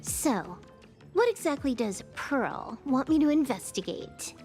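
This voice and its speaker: A woman's voice: high-pitched, sing-song, measured pace, darkish tone, soft background music, exaggerated voice. A woman playing a cocky, playful young hacker in a video game, nonchalant and teasing as she drawls. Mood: suspicious, assertive. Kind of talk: scripted video game character acting.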